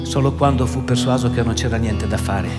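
A man's voice: medium pitch, a little fast, loud music behind the voice. A man giving grave, dramatic scripted narration in a radio theatre piece.

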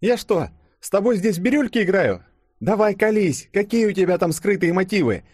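A man's voice: sits high, expressive, normal speed, a little mellow, breathy edge, no echo, clean studio sound, voice pushed up. A man voicing a feisty, bossy young woman who speaks in a snappy, demanding way for an audiobook. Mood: angry, demanding.

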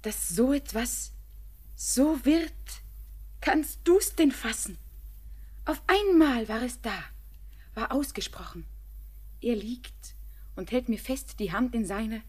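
A young woman playing an anxious, devoted bride in a German radio drama, breathy and often near a whisper, with tender, fervent, poetic delivery. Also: high-pitched, darkish tone. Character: anxious, devoted young bride keeping watch over her beloved.

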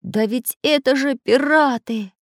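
A woman plays a brave little mechanical man from a children's tale. He speaks emphatically and indignantly. The pitch moves in lively swings, close and dry. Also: fairly quick, no room sound. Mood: alarmed. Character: indignant, brave little male iron handyman robot.

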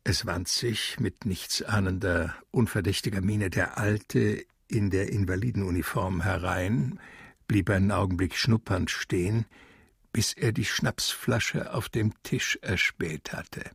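A male narrator of a radio play, low in pitch and extremely husky. He reads literary prose in a dramatic manner, with a rough edge to every phrase. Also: measured pace, no echo, studio quality.

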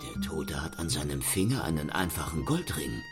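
An actor playing an obsessed, insistent Paris police inspector who questions people in a pointed, suspicious manner in a radio drama. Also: male voice, a bass voice, very animated, moderate pace, over music, full character voice. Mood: probing.

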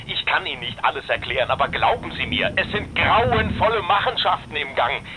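A man's voice: on the high side, expressive, brisk, background noise. An actor voicing a hunted man who leaves an urgent, secretive warning on an answering machine, heard through a telephone filter. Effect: telephone answering-machine sound. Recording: tinny answering-machine phone line.

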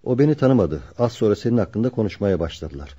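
A man's voice: lowish voice, sing-song, fast, mellow, breathy edge. A man playing a loyal, earnest carpenter in a radio drama, speaking politely and seriously. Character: loyal, earnest carpenter protecting his childhood friend. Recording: dull lo-fi radio recording.

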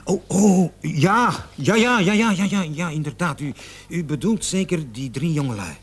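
A man's voice: fairly high pitch, animated, moderate pace, husky. A man acting in a radio drama as a nervous, evasive salesman under questioning, stammering and repeating himself. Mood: flustered, defensive. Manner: stammering, flustered, repeats words. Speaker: suspect under police questioning. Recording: noisy old radio play recording.

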